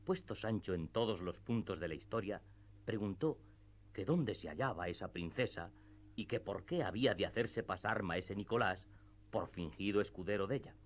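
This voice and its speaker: A deep-voiced man narrates a classic novel for radio theatre. His delivery is measured and literary, and the recording sounds a bit dull and muffled. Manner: measured storytelling.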